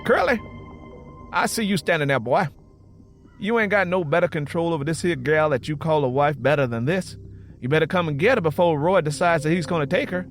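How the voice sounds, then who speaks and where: A man's voice: on the high side, fairly quick, faint music behind, pitched above the performer's own voice, lightly acted. A man voicing a domineering, menacing villain with a Southern US accent, commanding and sneering in an audio story. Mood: sneering threat.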